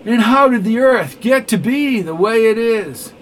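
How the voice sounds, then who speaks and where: A man's voice: fairly high pitch, measured pace, fairly bright tone, slight background noise. A man voicing an elderly, jolly male inspector who answers with defiant, scornful retorts. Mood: accusing.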